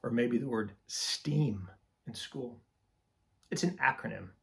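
A middle-aged man hosts a children's storytime with a teacherly, expressive delivery. He takes his time over each phrase, in a mid-pitched voice with a good deal of gravel in it. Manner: deliberate, teacherly explaining and expressive reading to children. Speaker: picture book read-aloud host. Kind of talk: children's storytime read-aloud, scripted. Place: small room with shelves of picture books.

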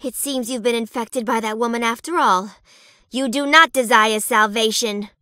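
An actress playing a perky but sinister reaper nurse in a video game, sugary and polite with menace underneath. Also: female voice, on the high side, normal speed, sharp tone, no echo, studio quality. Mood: cold, threatening. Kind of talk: theatrical video game character acting.

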